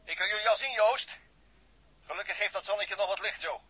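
Excitable high-pitched grumbling space crewman. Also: fairly quick, husky, no room sound, heavily acted. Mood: strained, hurried. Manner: colloquial, exclaiming. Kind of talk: 1960s Dutch radio drama acting.

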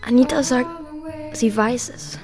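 A woman's voice: a little high, sing-song, unhurried, music in the background. A young woman playing a troubled, defiant teenage daughter in a German radio drama, speaking earnestly and full of questions. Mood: vulnerable, questioning.

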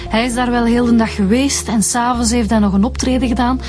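A woman's voice: a little high, steady tone, fast talker, darkish tone, faint music behind, natural voice. A woman playing a matter-of-fact police inspector with a Flemish accent, questioning and checking details in a radio drama. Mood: doubtful, matter-of-fact.

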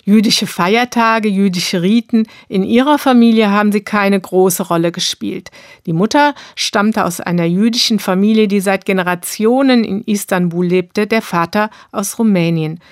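A woman narrates a radio documentary in a calm, measured voice. The studio sound is dry, with no room echo at all. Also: medium pitch.

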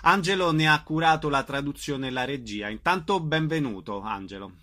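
A man's voice: fairly high pitch, expressive, normal speed, bright, forceful tone. A man, hosting a radio interview about the arts. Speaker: radio host interviewing theatre artists.

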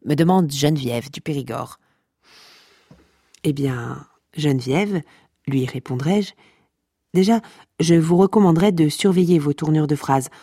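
An actress playing a droll narrator of a comic saga, wry and mock-solemn, speaking straight to the listener. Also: female voice, deep voice, a little slow, long pauses, dark tone, husky, no echo, studio quality, exaggerated voice. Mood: wry, pedantic. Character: droll, mock-epic female storyteller of a pasta-cult saga.

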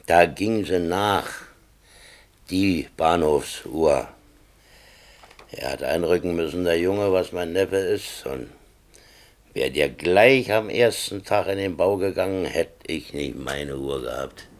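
An actor playing a stubborn, grumbling old village man in a radio drama, gruff, terse and contrary. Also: low-pitched, takes it slowly. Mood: reminiscing. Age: older. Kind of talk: naturalistic radio drama acting.

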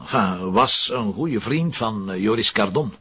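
An actor playing a dry, commanding police commissioner with a Flemish accent, in naturalistic crime drama dialogue. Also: male voice, on the low side, lively intonation, measured pace, bright, forceful tone, husky, no echo, full character voice. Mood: measured. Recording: muffled, lo-fi drama soundtrack.

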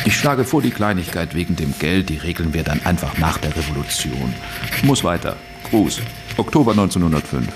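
An actor playing a stubborn, self-important revolutionary writer with a curt, clipped delivery in a German radio play. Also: male voice, low-pitched, expressive, brisk, music in the background. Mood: self-important, brisk.

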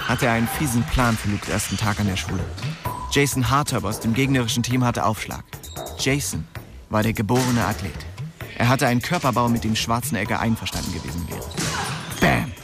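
A young man narrating a radio drama with wry, slangy ease. His voice sits at a medium pitch. Music plays underneath as he tells of his small-town youth. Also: lively intonation, moderate pace, creaky. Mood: wry storytelling. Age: young adult. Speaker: narrator and main character.

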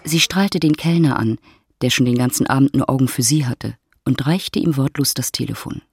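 A woman's voice: very deep voice, moderate pace, breathy edge. A woman narrating a literary audio drama from a script.

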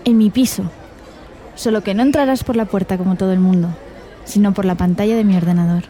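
Radio drama lover, female role for an actress, Castilian accent from Spain, relaxed dark timbre, playful and flirty. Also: mid-range voice, brisk, lightly acted. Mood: flirtatious. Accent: Spanish, from Spain. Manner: teasing, coquettish. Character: playful, teasing Spanish woman flirting with a lover. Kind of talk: radio drama acting, intimate phone conversation.

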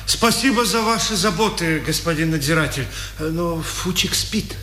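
A man's voice: fairly high pitch, animated, moderate pace, pushed and bright. An actor playing a timid, servile male prison barber who speaks nervously in a radio drama. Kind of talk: 1950s radio drama acting. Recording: echoey, faintly noisy 1950s radio recording.

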